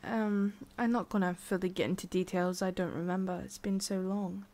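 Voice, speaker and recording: Girl doing a tsundere witch for asmr, condescending but secretly soft, halting and whispery at times. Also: medium pitch, level tone, close to an everyday voice. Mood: wistful, halting. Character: haughty, prickly tsundere forest witch, secretly soft-hearted. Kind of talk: ASMR roleplay.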